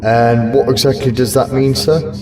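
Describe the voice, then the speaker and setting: A man's voice: medium pitch, fairly even intonation, normal speed, background noise, natural voice. A man in an audio drama playing a respectful, bewildered ghost of a Victorian police constable, plain-spoken and deferential.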